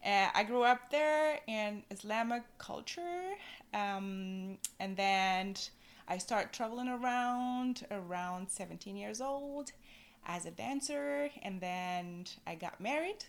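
Slow, earnest woman from Tunisia. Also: mid-range voice. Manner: earnest, reflective, slow and deliberate. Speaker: podcast guest. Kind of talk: casual podcast interview.

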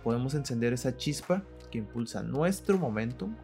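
A man's voice: medium pitch, normal speed, roomy sound. A man in his twenties from Sinaloa with a Mexican accent, hosting a casual podcast with lots of fillers. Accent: Mexican Spanish. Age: 20s. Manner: relaxed, chatty, many fillers like "pues". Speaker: podcast host. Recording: faint music, some outside noise.

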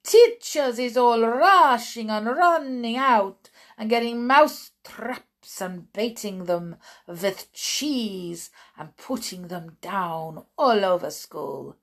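A woman voicing a domineering old witch villain in a storybook, theatrical and deliberate, in broken English. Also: a little high, expressive, pitched above the performer's own voice. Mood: gleeful, ranting. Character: gloating, domineering old Grand High Witch, foreign-sounding villain.

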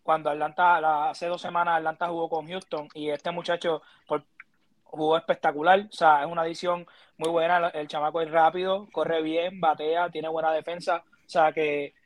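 A man giving baseball analysis, measured and filling pauses with "este.". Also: on the high side, flat delivery, dry recording. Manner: measured, filler "este" and "verdad". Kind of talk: casual sports talk.

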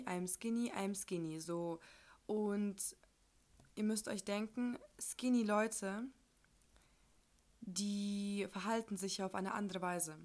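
A woman's voice: medium pitch, a little mellow. Young woman, informal podcast chat. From Ukraine. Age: young adult. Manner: informal youth slang mixed with English. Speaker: podcast host. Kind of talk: casual personal podcast monologue.

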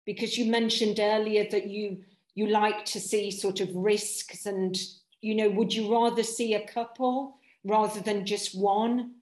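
Casting note: Polish-native woman from Nottingham, hard-to-place accent, slow polite questioner, roomy echo. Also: mid-range voice, fairly even intonation, a little mellow. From England, Nottingham. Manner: slow, polite, many ums. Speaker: actor asking a question. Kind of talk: casual Q&A.

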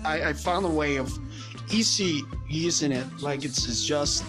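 A man's voice: fairly high pitch, normal speed, music in the background. A man whose first language is Spanish, giving a rambling, motivational solo podcast monologue in casual chat.

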